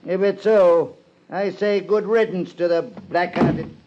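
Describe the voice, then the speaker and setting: An actor playing a grumbling, folksy old small-town clerk in a 1950s radio drama. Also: male voice, high-pitched, steady tone, unhurried, noisy background. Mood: cantankerous. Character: crotchety, penniless old small-town former record keeper, male. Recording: muffled 1950s radio broadcast.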